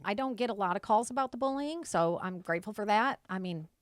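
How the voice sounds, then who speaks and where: A woman's voice: medium pitch, animated, fast talker, no echo, clean studio sound. A woman in her forties from Michigan, earnestly answering interview questions and often agreeing with "right.". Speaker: school board candidate.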